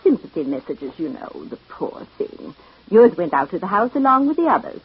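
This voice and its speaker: An actress playing a talkative, helpful telegraph clerk in an old radio drama. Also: female voice, mid-range voice, very animated, normal speed, husky, no echo. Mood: eager, chatty. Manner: talkative, obliging. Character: chatty, helpful female telegraph office clerk. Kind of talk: 1940s-50s radio character acting. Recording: dull old radio recording.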